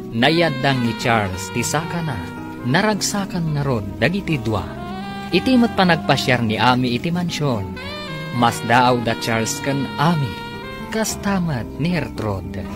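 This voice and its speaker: Guy announcing an ilocano radio drama, very animated, music playing behind. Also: medium pitch. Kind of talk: dramatic scripted radio narration. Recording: music bed under narration.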